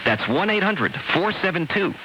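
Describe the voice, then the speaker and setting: A man reading sponsor spots on the radio in a sales-pitch style. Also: very animated, moderate pace, muffled recording. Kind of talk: live-read radio advertising. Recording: hissy shortwave radio cassette.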